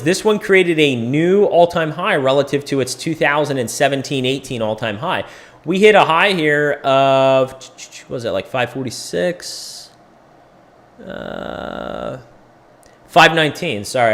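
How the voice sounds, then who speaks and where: A man losing his voice, clearing his throat often, casually thinking aloud while he walks through crypto price charts as the host of a live chart stream. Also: mid-range voice, lively intonation, normal speed. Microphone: desk microphone.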